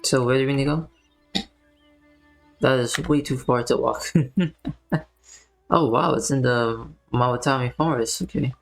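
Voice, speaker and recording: A woman with an extremely low voice and plenty of fry, chatting casually as she streams a game. Faint music plays behind her. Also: measured pace, long pauses, low-quality recording. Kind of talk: casual gameplay commentary.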